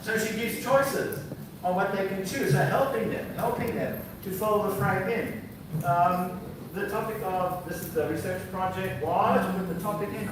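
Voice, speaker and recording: A man who is a visiting professor giving a university lecture, explaining slides step by step with frequent fillers. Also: high-pitched. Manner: explaining slides, reads prompts aloud, many fillers. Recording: very echoey hall, distant mic, background noise.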